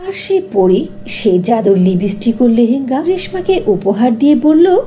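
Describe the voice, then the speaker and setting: A woman narrates a cartoon fairy tale in a fairly high, very animated voice. Her tone is mellow, and there is faint noise behind her. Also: normal speed.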